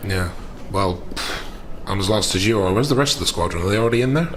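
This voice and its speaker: A man playing a tabletop role-playing game, casually improvising and thinking aloud in a halting way. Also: on the low side, expressive, fairly bright tone, slight background noise.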